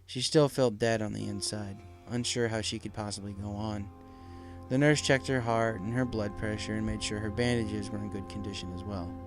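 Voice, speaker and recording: A man's voice: lowish voice, fairly even intonation, normal speed. A man narrating a dramatic story from a script as an audiobook reader. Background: faint music.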